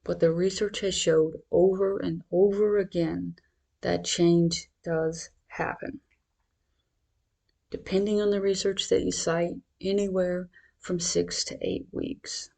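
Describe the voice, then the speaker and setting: Meditation guide, slow pace, creaky fry, reassuring, instructional, guided narration. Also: medium pitch, level tone, dark tone.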